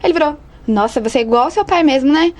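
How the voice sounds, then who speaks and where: Young Brazilian woman, distinctly high voice, informal podcast guest, faint ambient noise behind her. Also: animated, very fast. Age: young adult. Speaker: advertising student, podcast guest. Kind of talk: casual conversational storytelling.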